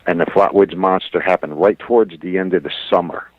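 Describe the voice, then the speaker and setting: A man's voice: low voice, steady tone, fairly quick. A man speaking as a guest researcher in a radio interview, telling his story earnestly and informally. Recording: dull, lo-fi dry radio sound.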